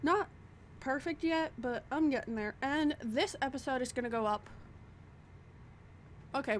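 A woman's voice: high voice, slight background noise. A woman chatting casually to her viewers while playing a building game, talking to herself as she sorts her items. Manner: chatty, self-deprecating, talks to herself while sorting items. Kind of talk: casual gameplay chatter to the camera. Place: home desk. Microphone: desk microphone at a computer.

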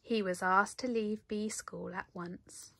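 A woman reading a children's story aloud in an animated way, with dramatic emphasis. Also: mid-range voice, takes it slowly, creaky. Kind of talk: expressive picture-book read-aloud.

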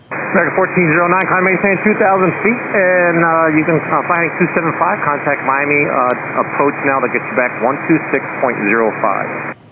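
Slightly high male tower controller on noisy radio. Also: brisk, narrow, tinny audio. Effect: radio transmission. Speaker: air traffic controller. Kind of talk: radio air traffic instructions.

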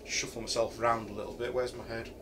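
A man's voice: mid-range voice, flat delivery, quick pace, background noise. A middle-aged man with a Yorkshire accent casually reviewing a camping chair in his kitchen, hesitating and thinking aloud with many ums. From Yorkshire, England. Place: home kitchen, indoors. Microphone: camera a few feet away.

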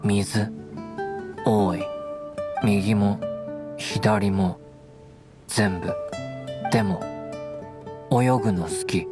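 A man voicing an earnest, protective wild boy in a Japanese anime game, speaking in halting, blunt fragments. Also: fairly low pitch. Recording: studio voice over game music.

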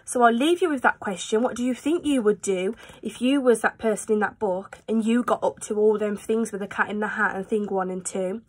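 A woman's voice: medium pitch, a little fast, few pauses. A woman reading a children's storybook aloud, recorded on a phone indoors. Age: 20s. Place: small room at home, indoors. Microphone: phone at arm's length.

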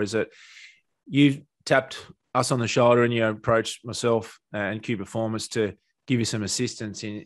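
A man's voice: medium pitch, dry recording. A man working as a business coach, talking conversationally and explaining as he interviews a client. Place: small home office on a video call. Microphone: headset or desk mic, close.

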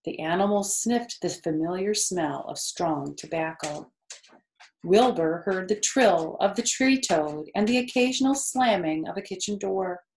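A woman in her fifties reading a children's story aloud, measured and explanatory. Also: fairly low pitch, expressive, darkish tone. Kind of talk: read-aloud storybook narration. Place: living room sofa, indoors. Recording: roomy, echoey indoor sound.

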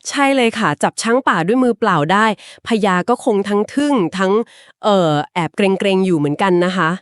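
A woman in mid-range pitch tells an old legend in an easy conversational way, explaining each turn at length. She softens her lines with polite particles and small filler pauses. The sound is dry and close, with no room around it. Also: expressive, smooth, dry recording. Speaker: podcast co-host. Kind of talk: conversational storytelling of a folk legend.

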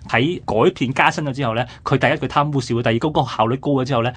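Hong kong cantonese guy, average pitch, talks super fast, chatty history radio host, keeps asking rhetorical questions. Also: fairly bright tone, slightly rough. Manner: explanatory, peppered with rhetorical questions. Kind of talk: conversational historical commentary.

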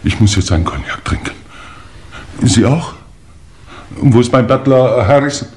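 An actor playing a pompous, shaken English lord in a 1950s German radio crime play, theatrical, with hesitant pauses. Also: male voice, mid-range voice, lively intonation, darkish tone, close to an everyday voice. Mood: dismayed, indignant. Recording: old noisy 1950s radio recording.

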